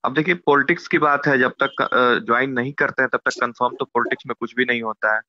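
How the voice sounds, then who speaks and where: A man with a Bihari accent explaining politics informally in measured, repetitive phrases as an interviewee on a recorded phone call. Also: fairly even intonation. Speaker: YouTuber. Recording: muffled low-bandwidth phone call recording.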